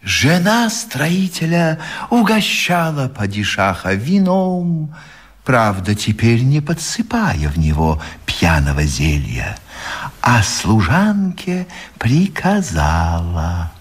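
A man's voice: medium pitch, sing-song, slow, breathy edge. A man narrating a classic tale as a storyteller in a scripted radio production. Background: music.

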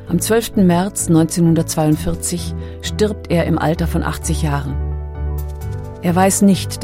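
A woman narrating a scripted documentary in a sober, measured manner. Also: low voice.

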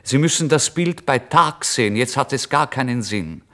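Man acting out gruff painter, irritable, blustering, impatient, raised pitch, literary audiobook. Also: expressive, moderate pace, sharp tone. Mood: irritated, blustering. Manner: blustering, impatient, hesitant starts. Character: gruff, irritable male painter receiving a late visitor.

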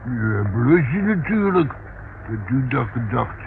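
An actor playing a grumpy old male tramp in a radio play, halting and repeating himself as he grumbles. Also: medium pitch, sing-song. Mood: rambling. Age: older. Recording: muffled narrowband old radio recording, noisy.

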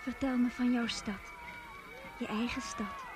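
An actress playing a gentle, withdrawn, curious young woman, speaking simply and intimately in a radio play. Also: a little high, fairly even intonation, a little slow, over music, close to an everyday voice. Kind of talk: Dutch radio play acting.

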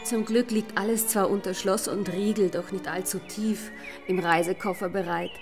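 A woman's voice: medium pitch, steady tone, moderate pace, over music, natural voice. A woman playing a helpful, genteel lady in a German radio play. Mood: obliging.

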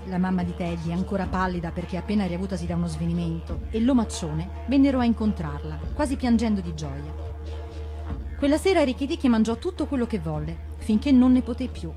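A woman reading a story aloud as an actress, in an expressive storybook narration. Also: mid-range voice. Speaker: actress reading stories on radio.

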